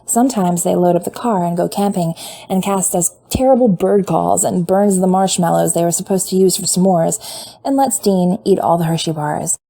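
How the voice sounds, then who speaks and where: A woman reading fan fiction aloud in a measured, intimate storytelling manner. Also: fairly low pitch, gravelly, dark tone. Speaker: podfic reader. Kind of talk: fan fiction audiobook narration.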